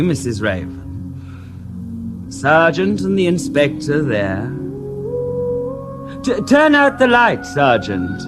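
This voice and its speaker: An actor playing a soft-spoken male clairvoyant who talks haltingly and stammers in a radio drama. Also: mid-range voice. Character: hesitant male stage clairvoyant.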